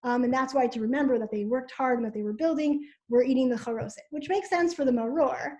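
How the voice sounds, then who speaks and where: A woman's voice: high-pitched, fast, a little mellow, lo-fi sound. A woman teacher who grew up in Israel, explaining informally on a video call with many ums. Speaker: middle school teacher.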